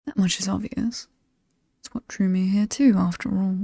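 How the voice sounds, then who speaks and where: Woman as mysterious British demon hostess for horror ASMR: mellow, very close and dry, breathy whispers, playful menace. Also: medium pitch, fairly even intonation, no room sound. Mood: playful, alluring. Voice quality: breathy, often dropping to a whisper. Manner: slow, teasing, coolly amused and aristocratic. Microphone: close, dry microphone.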